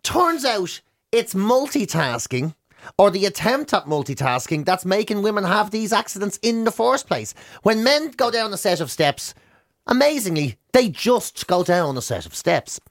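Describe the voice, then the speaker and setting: Irish male radio host, high-pitched voice, mocking comic banter, repeats words for effect. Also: sing-song, moderate pace. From Ireland.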